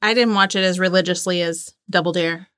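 A woman's voice: on the low side, steady tone, a little fast, a bit bright, smooth, no room sound. Woman co-host, close dry sound. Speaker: co-host and quiz player. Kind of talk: casual playful quiz chat.

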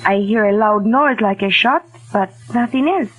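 A woman's voice: mid-range voice, moderate pace, a bit bright, slightly rough, low-quality recording. An actress playing a humble, friendly woman painter in a 1940s radio drama. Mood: humble, obliging. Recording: old 1940s radio recording.

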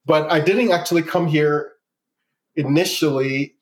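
A man's voice: a little high, unhurried. A man in his forties from Ghana, telling his life story as a guest in a casual interview and often repeating words.

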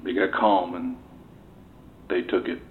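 An actor playing a shaken military door gunner in an audio drama, answering evasively and haltingly, stammering and repeating himself. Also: male voice, sits low, flat delivery. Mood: shaken, numb. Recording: noisy muffled phone-like interview tape.

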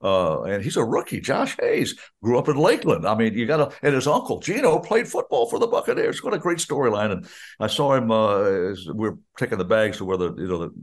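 An older man with an American accent and a somewhat low voice chats casually as a sports radio announcer. He rambles, restarting sentences and drifting into asides. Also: big pitch swings, brisk.

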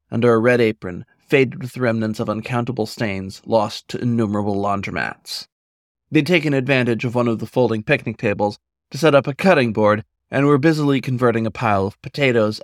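A man's voice: medium pitch, no echo, clean studio sound. A man narrating a scripted short story as the author reading his own work.

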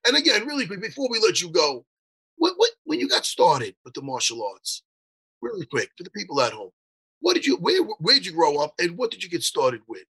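A male interview host with a gravelly, husky voice, bright and a little high. He talks in an eager, rambling way with casual swearing and lots of asides. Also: wildly swooping pitch, brisk, low-quality recording.

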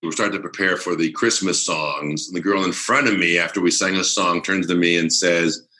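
Bright-voiced American male voice coach. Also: sits low, fairly even intonation, brisk. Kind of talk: conversational interview.

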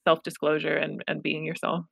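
Woman therapist chatting, low-ish voice, real candid and reflective, dry mic close up. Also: completely flat delivery, a little fast, gravelly, husky, no echo. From Los Angeles, United States. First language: Pashto. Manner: candid, reflective, self-deprecating humor. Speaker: therapist, guest. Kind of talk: casual conversational interview.

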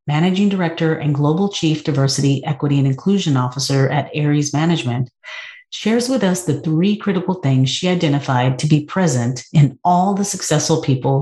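A woman with a very low voice hosts a podcast and opens it with a scripted introduction. She greets her guest eagerly. Her voice carries a noticeable room echo. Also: a little mellow. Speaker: podcast host. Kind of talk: scripted intro then casual interview. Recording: roomy echo.

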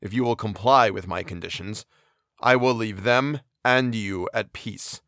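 A man voicing an anguished, towering male creature who speaks slowly and solemnly in an audiobook reading. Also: lowish voice, fairly bright tone, no room sound, clean studio sound. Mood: bitter. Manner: slow, heavy, solemn delivery.

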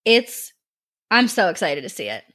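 A woman's voice: medium pitch, sing-song, normal speed, sharp tone. A woman co-hosting a podcast, chatting casually and enthusiastically, swearing freely and laughing a lot. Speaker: podcast co-host. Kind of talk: casual chat.

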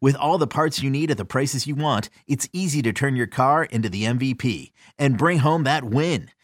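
A man's voice: mid-range voice, moderate pace, no echo, clean studio sound. A man reading a scripted commercial as an announcer. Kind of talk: scripted ad read.

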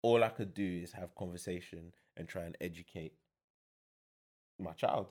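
A man's voice: low-pitched, moderate pace, a little mellow. A young father, a guest in a casual chat, speaking reflectively with many fillers.

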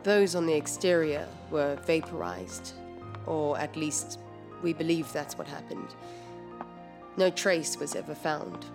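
A precise, earnest woman scientist in an audio drama. Her voice sits fairly low, and she explains in a formal, careful manner with music playing behind her. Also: expressive, a little slow.

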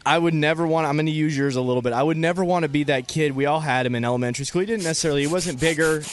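A man co-hosting a radio show, telling playful anecdotes at a quick clip. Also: on the high side, clean tone. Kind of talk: casual studio banter.

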